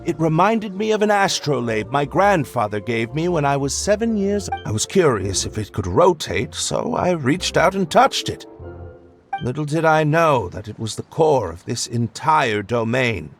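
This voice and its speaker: A man voicing a courteous, bookish young gentleman in an anime game, formal, precise and apologetic. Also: fairly high pitch, big pitch swings, normal speed, faint music behind. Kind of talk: anime game voice acting, scripted dialogue.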